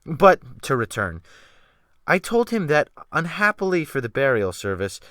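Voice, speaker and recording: Animated man narrating a dramatic audiobook. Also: on the high side, unhurried, dry recording, studio quality. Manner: theatrical, expressive delivery.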